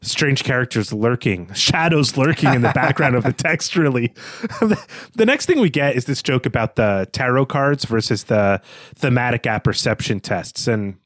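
A man with a mid-pitched voice discusses literature as a podcast host. He is analytical and reflective, thinking aloud as he goes, in a dry recording with little room sound. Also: expressive, moderate pace, fairly bright tone, no echo.

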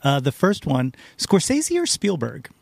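A man's voice: on the high side, wildly swooping pitch, a little slow, gravelly, dry recording. A man hosting a podcast in a casual, conversational interview style. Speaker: podcast host.

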